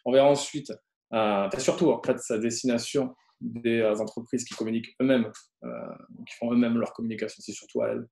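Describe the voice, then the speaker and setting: A man co-presenting a live webinar, hesitant and halting as he explains. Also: medium pitch, flat delivery, vocal fry, dark tone, echoey room. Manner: hesitant, many fillers and restarts.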